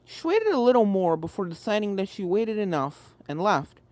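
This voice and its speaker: A woman's voice: medium pitch, sing-song, normal speed, darkish tone, dry recording. A woman narrating a scripted story expressively. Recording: music behind the voice.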